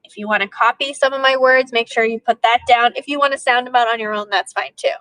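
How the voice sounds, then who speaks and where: A woman's voice: on the high side, fairly even intonation, quick pace, a bit bright, no room sound, rough recording. A woman, an elementary teacher leading a read-aloud lesson for young children, asking questions and prompting as she goes.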